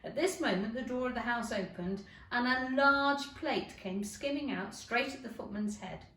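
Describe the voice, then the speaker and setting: A woman giving an expressive storybook narration. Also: a little high, normal speed. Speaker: teacher reading to schoolchildren. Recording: roomy echo, distant mic.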